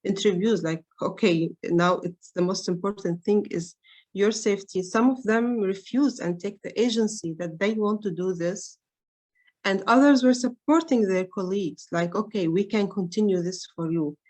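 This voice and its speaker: A woman's voice: medium pitch, normal speed, a little mellow. Hesitant woman. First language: Arabic. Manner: hesitant, many fillers and restarts. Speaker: researcher on a panel. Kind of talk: conference roundtable remarks.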